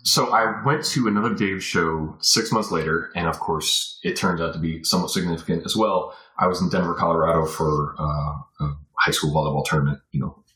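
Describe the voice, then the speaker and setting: A man's voice: low-pitched, fairly even intonation, brisk, hardly any pauses, bright, forceful tone. An American man in his forties from Virginia, reminiscing casually as a podcast guest in a rambling way. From Virginia, USA. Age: 40s. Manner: rambling, punctuated with "you know". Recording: roomy, echoey sound.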